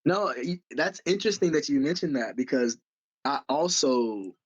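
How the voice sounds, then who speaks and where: Co-host, a man, lively casual chat with a slightly lo-fi recording. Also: mid-range voice, husky. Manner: animated, mixes in quick Japanese phrases. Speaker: podcast co-host.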